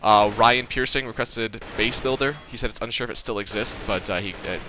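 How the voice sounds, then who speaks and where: A man's voice: on the low side, a little fast, sharp tone, slight background noise. A nineteen-year-old man giving casual gameplay commentary, rambling and profane, stuttering and leaving sentences unfinished. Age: young adult. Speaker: gaming channel host.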